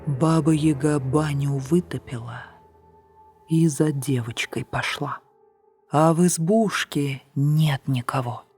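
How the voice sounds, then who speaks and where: Slow, hushed woman telling a fairy tale. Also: deep voice, breathy edge. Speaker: audiobook narrator. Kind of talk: scripted fairy-tale narration. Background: soft background music.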